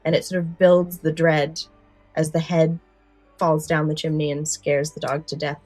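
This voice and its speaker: A woman's voice: sits low, little pitch movement, moderate pace, darkish tone, dry recording, faint music behind, low-quality recording. A woman co-hosting a podcast in casual, enthusiastic chat, stressing points by repeating words. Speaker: podcast co-host.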